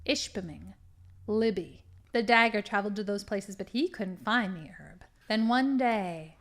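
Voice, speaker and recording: A woman's voice: mid-range voice, lightly acted. A woman playing a scheming, smugly menacing female villain in an audio drama, with theatrical, dramatic delivery. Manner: theatrical, gloating storytelling with hushed dramatic emphasis.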